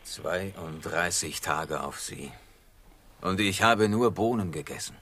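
An actor playing a fed-up, grumbling sailor with a rough voice in a satirical radio play. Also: male voice, sits low, takes it slowly, fairly bright tone, exaggerated voice. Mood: glum.

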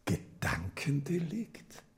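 Raspy, frightened prisoner. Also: male voice, a little high, wildly swooping pitch, exaggerated voice. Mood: fearful whispering. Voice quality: raspy, breathy, creaky. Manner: halting, pleading, drifting into whispers. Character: frightened, pleading male prisoner, eager naive neighbour. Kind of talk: German radio play acting.

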